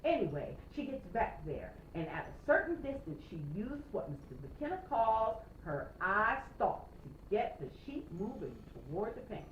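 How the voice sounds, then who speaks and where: A woman reading a children's novel aloud expressively as a storytime reader, with dramatic, emphatic pauses. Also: on the high side, big pitch swings. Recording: roomy, slightly noisy, dull home recording.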